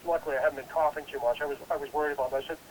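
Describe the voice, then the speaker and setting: A man's voice: a little high, steady tone, very fast, a crowd in the background, low-quality recording. A congested man recovering from a head cold, talking casually and rambling with many restarts. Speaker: guest investigator. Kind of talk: casual interview.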